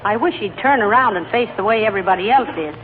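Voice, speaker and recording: An actress playing a patient, dry-witted wife in a 1940s radio comedy, speaking calmly with a teasing edge. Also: female voice, medium pitch, fast, a crowd in the background, close to an everyday voice. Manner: calm, drily teasing. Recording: tinny old radio broadcast with audience.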